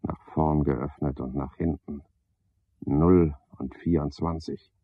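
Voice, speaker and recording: A man's voice: a bass voice, steady tone, takes it slowly, breathy edge, dry recording, exaggerated voice. An actor playing a self-important, touchy chairman of a mushroom club in a radio play, talking with cajoling pomposity. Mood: brooding, hushed. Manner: pompous, cajoling, one-sided conversation. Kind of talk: 1970s German radio play acting. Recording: dull, band-limited 1970s radio recording.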